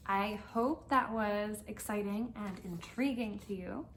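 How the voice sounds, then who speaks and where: A woman teacher reading and talking to language learners, expressive and carefully enunciated. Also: mid-range voice, a little slow, a little hiss or hum, low-quality recording. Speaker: host and reader, English teacher. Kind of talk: storybook read-aloud for language learners.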